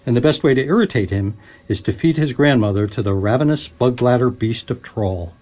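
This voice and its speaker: A man's voice: mid-range voice, animated, brisk, darkish tone, natural voice. Droll talking electronic guidebook voiced by a man. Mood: droll, blunt. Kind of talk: radio comedy narration.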